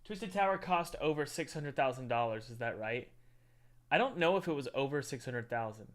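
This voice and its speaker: A man's voice: medium pitch, animated, normal speed. A man hosting a casual livestream, rambling and repeating himself, sounding stuffy like he has a head cold. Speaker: livestream host reviewing indie games. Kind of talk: casual livestream chat with ad read. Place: home room, window open, cold.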